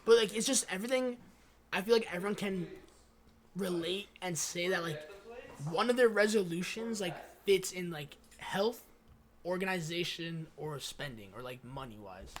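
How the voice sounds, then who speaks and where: Teenage male podcast co-host, high-ish voice, casual, slangy, sweary, agreeable. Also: normal speed. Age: late teens. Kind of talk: casual chat.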